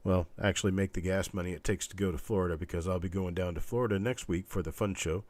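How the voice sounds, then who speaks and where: A man hosting a casual live online auction stream, chatting in a rambling, halting, folksy way with a scratchy, stuffed-up voice weakened by illness. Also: sits low, fairly even intonation, darkish tone, no room sound. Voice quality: congested, stuffed-up nose. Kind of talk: casual livestream chat with viewers.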